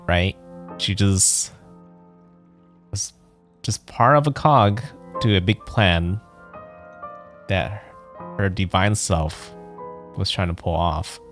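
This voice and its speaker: A man streaming a video game with casual, hesitant commentary, musing aloud in halting phrases. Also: lowish voice. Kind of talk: casual let's play commentary.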